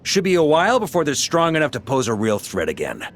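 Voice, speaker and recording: An actor playing a hearty, confident grandmaster of knights in a video game, commanding yet easygoing. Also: male voice, fairly high pitch, huge pitch swings, fast, a little hiss or hum. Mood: easygoing, assured. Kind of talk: English video game voice acting.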